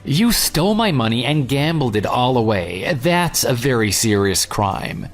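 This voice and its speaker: Male actor as bitter ex-fiancé, smug and taunting, lively swings in pitch, for an audio drama. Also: a little slow, faint music behind. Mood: gloating. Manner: sarcastic, taunting. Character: vengeful jilted ex-fiancé, sarcastic and coldly smug. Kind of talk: dramatized phone dialogue.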